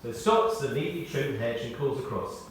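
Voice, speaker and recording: A man's voice: medium pitch, moderate pace. Man in an echoey hall, giving a tribute. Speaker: tribute speaker. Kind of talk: read-out memorial tribute with anecdotes. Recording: echoey hall, distant, faint noise.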